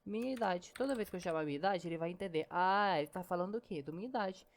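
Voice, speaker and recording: A woman's voice: on the low side, fast. A woman teaching programming in a casual screen-recorded lesson, chatty and step by step, often saying "ó" and "né".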